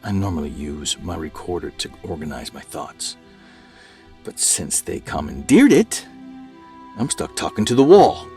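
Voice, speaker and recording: A man's voice: low voice, sing-song, measured pace, faint music behind, full character voice. A man acting an obsessed researcher held captive, agitated and musing aloud to himself in an audio drama monologue. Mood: weary, reflective. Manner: agitated, musing aloud, swings from murmurs to shouts.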